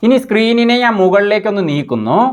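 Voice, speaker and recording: A man's voice: high voice, very animated, quick pace, clean tone. A man presenting a tech tutorial, explaining each step in a methodical, instructional way.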